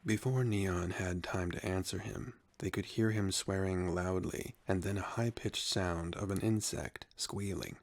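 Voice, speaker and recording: A man narrating a scripted sci-fi story in a soft, unhurried, solemn manner. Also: sits low, gravelly, dry recording.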